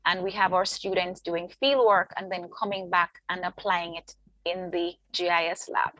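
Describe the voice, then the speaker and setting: A woman lecturer presenting her department in an online orientation, listing items steadily, often pausing with "um". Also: fairly low pitch, fairly even intonation, a bit bright, no echo, poor audio. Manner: measured, list-like delivery with frequent ums. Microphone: video call.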